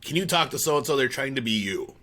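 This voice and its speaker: A man's voice: medium pitch, animated, brisk, pushed and bright. A man with a Midwestern accent, co-hosting in casual conversation, who tells stories animatedly and laughs easily. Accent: Midwestern American. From South Dakota, USA.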